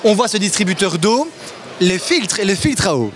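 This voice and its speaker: A man, interviewing a sales rep at a trade fair stand in a lively, promotional way. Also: high voice, moderate pace, slight background noise. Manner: lively, promotional, asks leading questions. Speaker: interviewer for a retailer's channel. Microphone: handheld microphone.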